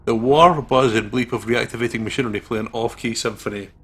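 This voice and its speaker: A man's voice: medium pitch, a little fast, very noisy. A man hosting a let's play, reading visual novel narration aloud dramatically.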